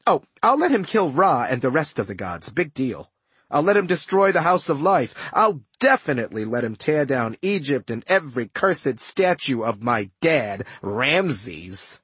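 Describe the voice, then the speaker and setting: A man's voice: mid-range voice, very animated, unhurried, fairly bright tone, breathy edge, no echo, full character voice. A man voicing a smug, scheming ghost villain in an audiobook, with breezy, taunting, slangy talk. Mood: smug, gloating.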